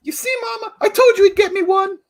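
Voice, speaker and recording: A woman playing an eager, excitable little boy in a 1940s-style radio play. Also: very high-pitched, fairly quick. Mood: excited.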